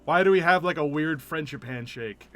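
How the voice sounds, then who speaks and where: A man's voice: fairly high pitch, moderate pace, fairly bright tone, no room sound. A man streaming a game, chatting casually as he comments and reads dialogue, with game music behind him.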